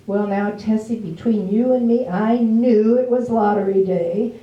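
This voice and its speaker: A woman's voice: medium pitch, measured pace, close to an everyday voice. A woman playing a cheerfully brash village housewife in an amateur stage play, chatty and folksy. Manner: folksy, bantering, homely grammar. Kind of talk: amateur readers theater stage acting. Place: live play in a public library room. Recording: echoey, distant, dull, some background noise.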